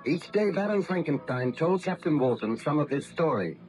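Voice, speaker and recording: A man's voice: a little high, animated, darkish tone. Formal male radio narrator over faint music. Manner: formal, measured storytelling. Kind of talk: scripted 1930s radio drama narration.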